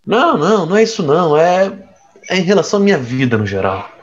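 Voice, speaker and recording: A man's voice: fairly high pitch, big pitch swings, fast talker, highs cut off. A man playing a troubled, restless boyfriend in an audio drama, speaking hesitantly and evasively. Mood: uneasy. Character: troubled, restless man confiding in his girlfriend.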